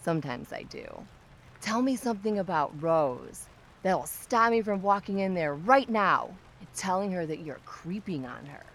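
A woman's voice: fairly low pitch, expressive, moderate pace, fairly bright tone. A woman playing a tipsy, nosy and pushy young neighbour in a comic audio drama, chatty, teasing and insistent. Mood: teasing, probing. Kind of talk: audio drama comedy acting.

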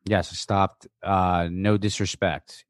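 A man with a fairly low voice talks casually as a podcast guest, recorded close and dry. He rambles conversationally and tends to repeat his phrases. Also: flat delivery, slow pace, dry recording. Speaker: guest, comedian and dog trainer.

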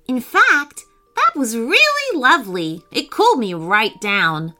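A woman's voice: on the high side, big pitch swings, unhurried, sharp tone, faint music behind, full character voice. A woman voicing a brave, cheeky female dog with an eager, breezy manner in a children's story. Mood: breezy delight. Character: brave, cheerful, cheeky female dog, magically grown huge.

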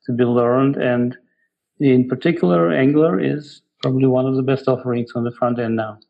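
A middle-aged man from Ukraine with an Eastern European accent, giving halting, reflective, explanatory answers as an interview guest. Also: mid-range voice, fairly even intonation, creaky, dull audio. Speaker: guest, software developer.

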